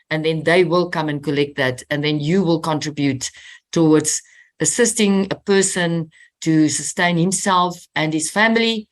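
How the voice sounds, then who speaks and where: A woman presenting in a webinar, giving direct practical advice to residents. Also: deep voice. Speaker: collection and training manager. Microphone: video call.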